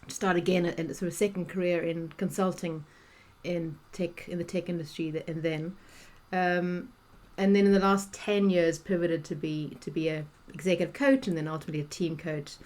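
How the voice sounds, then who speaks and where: Middle-aged South African woman, low-set voice, easy chatty podcast guest. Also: steady tone, normal speed, hardly any pauses, a little mellow. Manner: chatty, many restarts and fillers. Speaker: guest, executive coach. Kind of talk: podcast interview conversation.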